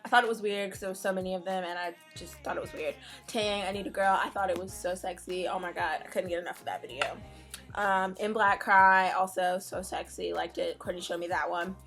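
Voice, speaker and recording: A young woman co-hosting a casual fan-channel video, answering questions in a relaxed, giggly way. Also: lowish voice, a little fast, faint music behind. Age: young adult. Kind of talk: casual Q&A chat.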